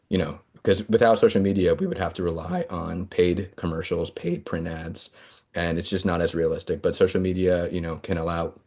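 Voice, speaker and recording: Low, flat-voiced earnest man. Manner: earnest, hesitant, many fillers and restarts. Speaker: congressional candidate. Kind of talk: campaign interview answers.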